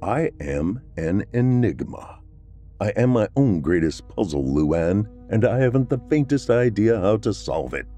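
Man playing a self-pitying vampire man, anguished, melodramatic, mellow, lively. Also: fairly low pitch, measured pace, faint music behind, pitched above the performer's own voice. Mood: brooding. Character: brooding 300-year-old male vampire, self-pitying.

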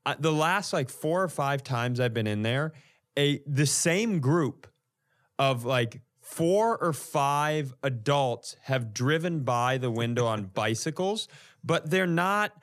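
A man's voice: mid-range voice, lively intonation, slow, a bit bright. A man co-hosting a podcast, telling casual comic stories in a blunt, exasperated, sweary way. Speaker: podcast co-host. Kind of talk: casual comedic storytelling.

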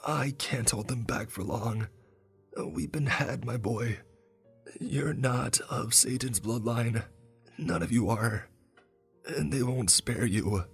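A man's voice: mid-range voice, slow pace, husky, faint music behind, pitched above the performer's own voice, exaggerated voice. A man doing a bossy scolding grandma. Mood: urgent. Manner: scolding, commanding. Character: stern old grandmother, bossy and scornful.

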